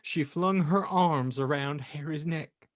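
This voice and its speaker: A man's voice: a little high, takes it slowly, no room sound, clean studio sound. A man hosting a comedy podcast, reading fan fiction in a mock-solemn storybook voice and chatting wryly. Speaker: podcast host and narrator.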